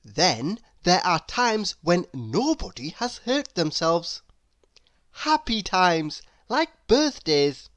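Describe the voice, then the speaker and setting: A woman narrating a children's story in an expressive, emphatic read-aloud style. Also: on the low side, takes it slowly, dry recording. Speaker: storybook reader.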